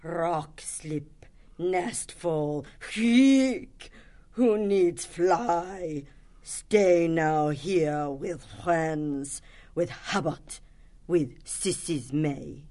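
A proud, injured hunting bird with a strange accent who drops words and speaks in short, stubborn fragments. She talks extremely slowly, in a fairly low voice. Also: mellow. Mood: resigned. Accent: strange foreign-sounding bird accent. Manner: halting, clipped broken phrases, very slow. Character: proud wounded female red kite, broken speech. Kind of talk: audiobook character voice.

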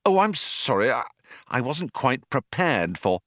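A man's voice: medium pitch, very animated, unhurried, fairly bright tone, no room sound, clean studio sound, pitched above the performer's own voice. A man voicing a bewildered, easily startled ordinary man in an audiobook, flustered and apologetic. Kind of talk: audiobook character voice.

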